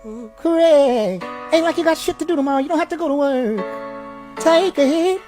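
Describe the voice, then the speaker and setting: Very high, pushy wheedling stoner. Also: male voice, moderate pace. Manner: wheedling, animated. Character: shrill, pushy stoner tempting his friend. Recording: film clip under loud music.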